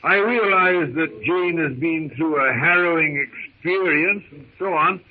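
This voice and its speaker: Guy doing an awkward polite captain, highish voice, formal and hesitant, tinny old radio show. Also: a little slow, lightly acted. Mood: sheepish, hesitant. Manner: hemming and hawing, formal diction. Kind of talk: 1930s radio serial acting. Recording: tinny, narrow-band 1930s radio recording.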